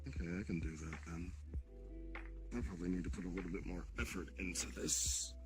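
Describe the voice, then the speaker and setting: A man's voice: low-pitched, fairly quick. A man improvising in an online roleplay, narrating his own actions over voice chat. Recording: muffled narrow-band voice chat, music behind.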